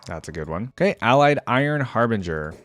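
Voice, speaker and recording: A man's voice: mid-range voice, big pitch swings, a little fast, fairly bright tone, a little hiss or hum. A man hosting a casual reaction video about game voice lines, chatting in a laid-back, conversational, amused way.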